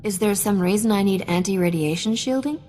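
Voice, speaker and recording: An actress playing a brash, quick-witted woman starship captain with a teasing, wisecracking manner in a video game. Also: mid-range voice, warm tone, slight background noise, close to an everyday voice. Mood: cocky. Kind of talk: video game cutscene acting.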